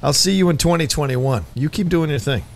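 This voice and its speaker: A man hosting a casual panel chat, joking and riffing with quick asides. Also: a little high, creaky. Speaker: host.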